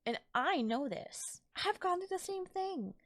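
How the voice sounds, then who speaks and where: A woman playing a caring, affectionate girlfriend in a soft, intimate ASMR audio roleplay, speaking in a tender, coaxing way. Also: extremely high pitch, lively intonation, a little slow, no room sound, heavily acted. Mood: earnest, reassuring. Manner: tender, soothing, coaxing, breathy near-whispers at times. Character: caring, affectionate girlfriend comforting a tired partner. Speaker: voice actor. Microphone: close microphone in a dry space.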